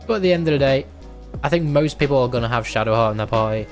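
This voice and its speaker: Hoarse male gaming creator, chatty explainer, mid-pitched, music bed behind. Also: very fast. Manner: chatty, explains step by step with many fillers. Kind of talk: casual game build guide. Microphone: desk microphone. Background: background music.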